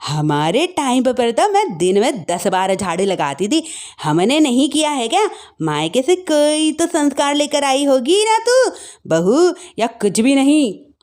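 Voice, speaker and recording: Woman acting a nagging, animated mother-in-law. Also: medium pitch, a little slow. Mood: scolding. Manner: scolding, sarcastic, dramatic exclamations.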